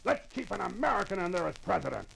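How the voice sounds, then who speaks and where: High gravelly bigot, loud and pushy, old noisy radio sound. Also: male voice, big pitch swings, fast, over loud music, heavily acted. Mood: scornful. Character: bigoted loudmouthed man pushing his vote. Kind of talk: 1940s radio acting. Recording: old radio recording with noise.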